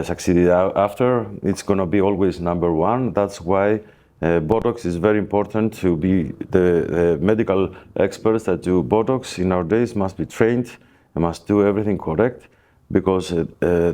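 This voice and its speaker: A man with a very deep, dark voice and a Greek accent. He speaks as an expert guest on a podcast. His explanations come in hesitant, broken phrases. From Greece. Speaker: plastic surgeon, guest expert. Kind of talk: podcast interview, explanatory talk.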